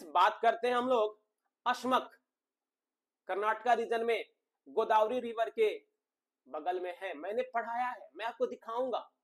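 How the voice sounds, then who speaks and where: A man with a notably high voice teaches exam material informally. He is emphatic, circles back to repeat key phrases and puts rhetorical questions to his viewers. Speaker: exam coaching teacher and channel host. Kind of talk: informal exam-analysis lecture addressed to viewers.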